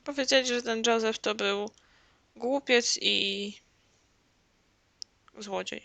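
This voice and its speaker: A woman's voice: on the high side, slow pace, gravelly, fairly bright tone, no room sound. A woman with a Polish accent giving casual, hesitant game commentary, thinking aloud as she plays. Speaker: gameplay streamer translating the dialogue.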